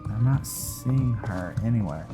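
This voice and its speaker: A man hosting a roleplay game playthrough, giving casual, low-key commentary as he thinks aloud. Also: animated, measured pace. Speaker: gaming video host. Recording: loud game music behind the voice. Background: video game music.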